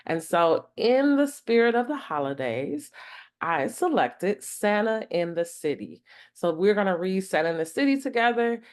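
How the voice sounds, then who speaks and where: A woman reading a children's picture book aloud as a storytime host, expressive and deliberate in her phrasing. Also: mid-range voice.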